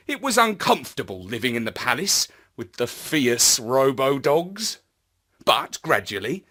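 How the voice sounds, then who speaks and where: A man narrating a children's story in a theatrical, deliberate, expressive manner. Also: medium pitch. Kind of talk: scripted children's story narration in first person.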